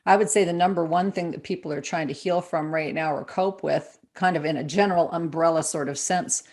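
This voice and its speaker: A woman with a slightly low-pitched voice hosts a casual conversation. She asks and comments informally, affirming what her guest says in everyday language. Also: a little fast, darkish tone. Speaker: interview host.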